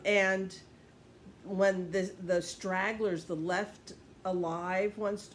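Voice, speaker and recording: A woman who grew up in Puerto Rico leads a Bible study lesson, thinking aloud, pausing and restarting as she checks her notes. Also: on the low side, slight background noise.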